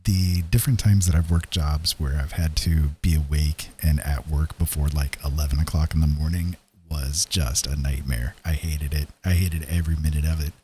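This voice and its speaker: Deep, dark-voiced man chatting casually on stream. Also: fairly even intonation, slight background noise. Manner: easygoing, rambling, halting with frequent pauses. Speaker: live streamer, digital painter and storyteller. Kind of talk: casual chat with live stream viewers.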